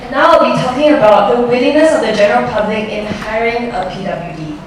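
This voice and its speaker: A woman's voice: fairly high pitch, brisk, a little hiss or hum. Earnest young woman in an echoey hall. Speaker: student presenter. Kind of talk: presenting survey results. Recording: roomy echo from far off.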